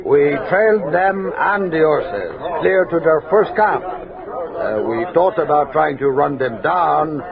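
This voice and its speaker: A frontier scout in an old radio drama, reporting slowly and respectfully. His voice is gravelly, and the sound is thin with other voices around. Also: fairly high pitch, lively intonation, narrow, tinny audio, crowd noise. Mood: sober, hesitant. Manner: hesitant, plain, respectful. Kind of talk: 1960s radio drama acting. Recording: thin, band-limited vintage radio recording.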